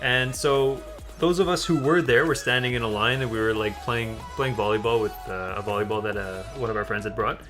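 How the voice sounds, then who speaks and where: Young adult male gaming co-host, mid-low voice, casual rambling anecdotes, amused, faint music behind. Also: fairly quick, a bit bright. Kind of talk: casual storytelling chat over gameplay. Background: background music.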